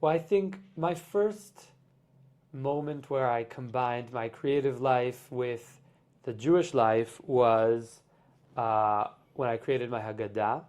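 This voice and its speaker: A man's voice: medium pitch, darkish tone. Reflective man chatting as a podcast guest. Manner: reflective, explains with many "like" fillers. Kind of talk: casual conversation.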